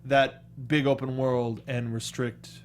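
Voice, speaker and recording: A man's voice: medium pitch, little pitch movement, takes it slowly. A man co-hosting a talk show in an enthusiastic, slangy, casual chat about games and films.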